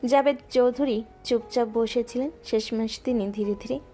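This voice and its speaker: A woman narrating a romantic audio story in a smooth, even storytelling voice. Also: fairly high pitch, normal speed. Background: soft background music.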